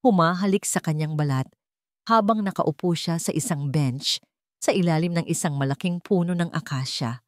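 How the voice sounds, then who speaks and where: A woman's voice: on the low side, normal speed, no echo, studio quality. A woman with a Filipino accent reading a scripted romance story as a dramatic narrator.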